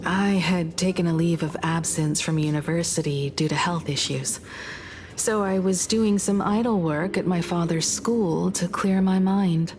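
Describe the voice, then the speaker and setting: Casting: actress for thoughtful young female scholar in a story game, lower register, measured and articulate, gentle poise, music behind. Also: fairly even intonation, lightly acted. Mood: wistful, reflective. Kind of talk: video game story dialogue.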